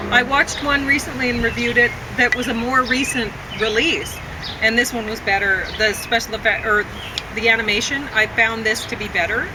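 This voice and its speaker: Halting casual woman over clear vehicle noise. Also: on the high side. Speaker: film reviewer. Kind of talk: casual unscripted review chat.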